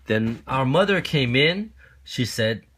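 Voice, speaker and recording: A man with a mid-pitched voice reads a children's story in verse. He is expressive and keeps a rhythmic, sing-song delivery, as a parent reading to a child. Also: slow pace. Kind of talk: expressive children's picture-book read-aloud.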